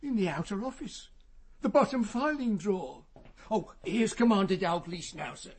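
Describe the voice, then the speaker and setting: A man's voice: high-pitched, expressive, normal speed, a little hiss or hum. An actor playing a flustered, deferential male junior chambers employee, hesitant, in a radio crime drama.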